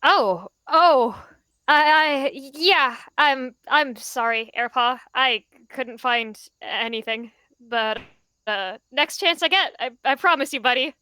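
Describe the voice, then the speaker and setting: A woman's voice: high voice, slow pace, bright, forceful tone, smooth, no echo, voice pushed up, heavily acted. Skittish, stammering warrior cat voiced by a woman. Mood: nervous, apologetic. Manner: stammering, hesitant. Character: skittish, apologetic warrior cat mentor, nervous and gentle.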